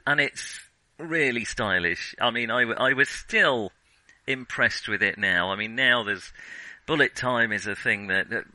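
A man's voice: mid-range voice, unhurried, pushed and bright, no room sound, rough recording. A man co-hosting a casual film discussion, thinking aloud in a hesitant way. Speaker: podcast co-host.

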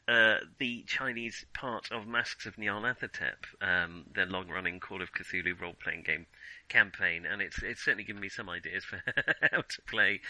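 A man hosting a podcast, talking casually about film in a halting, hesitant way. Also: lowish voice, pushed and bright, no room sound, low-quality recording. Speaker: podcast host.

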